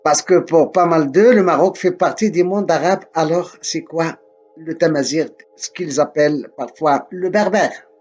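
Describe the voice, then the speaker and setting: Man, from Morocco, explaining like a guide, very slow delivery, restarts phrases, muffled sound. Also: fairly high pitch, darkish tone, soft background music. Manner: deliberate, repeats himself, self-corrects. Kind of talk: slow spoken lesson to camera.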